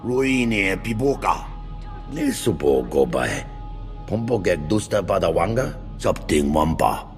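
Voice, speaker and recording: Casting: a declamatory alien brute in a game; bassy, animated, gruff, talks in made-up language. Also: male voice, measured pace, faint music behind, full character voice. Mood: gruff, challenging. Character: gruff alien speaking an invented tongue, game character.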